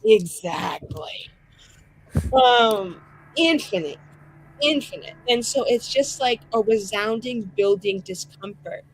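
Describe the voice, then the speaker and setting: A woman's voice: fairly high pitch, lively intonation, takes it slowly, slight background noise, poor audio. A woman telling an improvised tabletop roleplay scene casually and dramatically, colloquial and sweary. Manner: dramatic, profane, colloquial with many "like"s.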